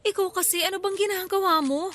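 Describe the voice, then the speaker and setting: A woman's voice: extremely high pitch, fast talker, fairly bright tone. A woman acting an irritable, sarcastic young woman in a Filipino radio drama, scolding and blunt. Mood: annoyed, sarcastic. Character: irritable, sarcastic injured young woman, restaurant head cook. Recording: music bed behind the voice.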